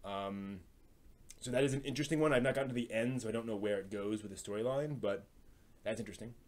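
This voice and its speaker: Halting man hosting a casual live stream. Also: lowish voice. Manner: halting, thinks aloud, hums filler syllables while searching. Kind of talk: casual live chat, giving anime recommendations.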